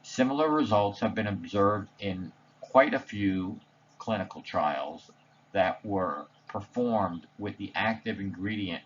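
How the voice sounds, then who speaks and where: A man reading a scripted slide presentation voice-over in a measured, formal, technical manner. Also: low voice, highs cut off, slight background noise. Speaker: presenter narrating study results.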